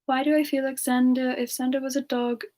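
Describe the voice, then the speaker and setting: A woman hosting a semi-ASMR question session, chatting casually in a soft-spoken, gentle and affectionate manner. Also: sits high, little pitch movement, fairly quick. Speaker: ASMR channel performer hosting a live Q&A in character.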